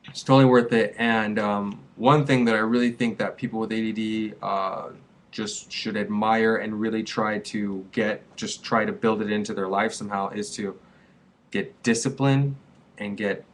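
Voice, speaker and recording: A man in his twenties, a small business owner, talking casually and earnestly to camera with a rambling, repetitive flow. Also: on the low side. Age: 20s. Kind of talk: casual vlog advice to camera.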